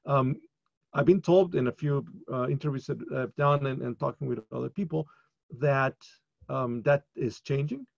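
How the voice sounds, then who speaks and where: A man's voice: medium pitch, moderate pace, gravelly, no echo. A middle-aged man speaking as a panelist in an academic webinar, hesitant with many ums and restarts, on a video call from a home study. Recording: dull, dry video call audio.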